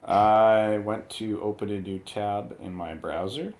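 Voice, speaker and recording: A man's voice: fairly low pitch, steady tone, unhurried, darkish tone. A man chatting casually as a panelist in a movie review discussion.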